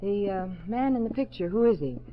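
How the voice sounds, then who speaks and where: A muffled vintage radio recording of an actress playing a cool, coy woman in a detective drama. Her short replies swing widely in pitch, with a flirtatious edge. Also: lively intonation, a little fast, natural voice. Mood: cool, guarded. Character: cool, flirtatious woman, a murdered man's widow. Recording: dull old radio recording.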